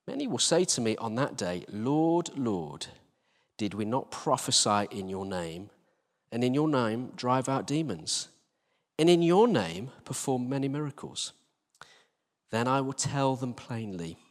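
A British man giving an informal sermon as a church leader, conversational, self-deprecating and gently humorous. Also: mid-range voice. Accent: British English. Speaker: church team leader preaching. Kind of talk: informal sermon with Bible reading. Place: church hall, live service. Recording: live talk in reverberant hall.

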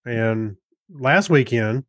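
A man's voice: medium pitch, extremely slow, no room sound. Easygoing American man, dry close sound. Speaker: podcast co-host. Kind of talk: casual chat.

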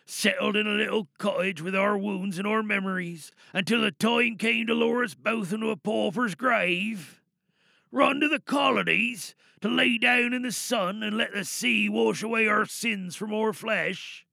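Man performing a heartbroken soldier back from war, high-pitched, gravelly creak, bitter, wistful, confessional audio drama monologue. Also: bright, forceful tone, no room sound, clean studio sound, full character voice. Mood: wistful, resentful. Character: sad, bitter war veteran mourning his soldier lover.

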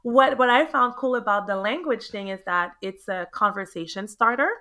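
A young woman from Montreal with a Quebec French accent, French her first language, chatting in an interview as a realtor, animated and quick to laugh. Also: fairly high pitch. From Montreal, Canada. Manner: animated storyteller, laughs often, acts out quotes. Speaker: guest, realtor. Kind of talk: casual interview chat.

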